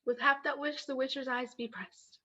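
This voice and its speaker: A woman's voice: high-pitched, fairly even intonation, normal speed, bright, forceful tone. A woman playing a modest young noblewoman, speaking verse in a stage play. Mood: gentle. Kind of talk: Shakespearean stage acting. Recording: dull lo-fi sound.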